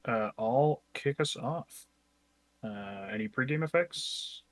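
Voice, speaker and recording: A man's voice: medium pitch, expressive, slow pace, no echo. A man from Ontario with a Canadian accent, giving matter-of-fact opinions in casual card game banter.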